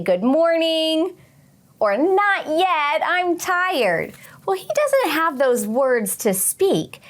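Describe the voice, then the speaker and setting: Female children's read-along host, higher-pitched, expressive, question-led teacher delivery. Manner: expressive, asks questions and pauses for imagined answers. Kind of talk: read-aloud and lesson addressed to young children.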